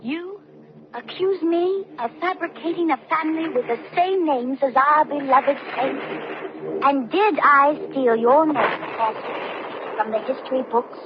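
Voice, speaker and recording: An actress playing a devout, defiant nineteen-year-old prisoner in a radio drama, impassioned and declamatory in formal phrases. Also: female voice, high-pitched, measured pace. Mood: defiant, accusing. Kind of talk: 1970s radio drama acting. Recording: dull, very noisy old radio broadcast recording.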